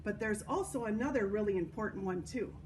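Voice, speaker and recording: A woman hosting a children's story time at a church, reading and explaining in a deliberate way for young listeners. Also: mid-range voice. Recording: distant microphone, noticeable background noise.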